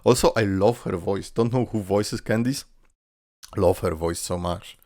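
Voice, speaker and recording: Low, creaky man reacting casually. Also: measured pace, noisy background. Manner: informal, thinking aloud. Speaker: reaction video host.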